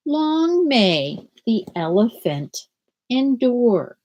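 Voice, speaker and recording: An older woman, a school principal, reads aloud gently and slowly to young children from a small room at home on a laptop webcam. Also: a little high. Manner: slow, gentle and animated, like reading to young children. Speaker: school principal reading to students. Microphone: laptop webcam at desk.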